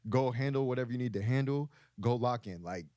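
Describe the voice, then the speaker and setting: A man's voice: mid-range voice, fairly quick, warm tone, no room sound, studio quality. A young man from Brooklyn, a guest on a podcast, talking candidly and earnestly in casual conversation. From Brooklyn, United States. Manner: candid, earnest, talks through ideas with restarts.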